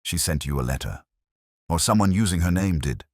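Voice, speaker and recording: A man voicing a calm, courteous police inspector who questions gently and persistently in an audio mystery. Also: sits low, fairly quick, no room sound, clean studio sound. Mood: gentle probing.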